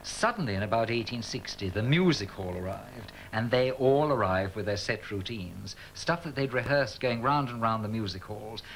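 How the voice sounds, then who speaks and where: Male panto performer, lowish, keen, interview. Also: expressive, normal speed, hardly any pauses, fairly bright tone, slight background noise, low-quality recording. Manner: enthusiastic, thoughtful.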